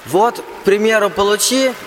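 A man as a high, echoing spirit host. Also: normal speed, close to an everyday voice. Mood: inviting. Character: mysterious hospitable island voice offering food. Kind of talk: rhymed radio play, amateur school production. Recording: long reverb, faint water noise.

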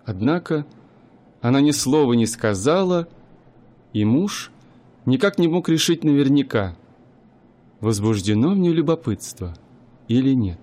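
Brief: male narrator, mid-pitched, measured storytelling for a radio play. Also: lively intonation, dark tone. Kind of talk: scripted literary narration.

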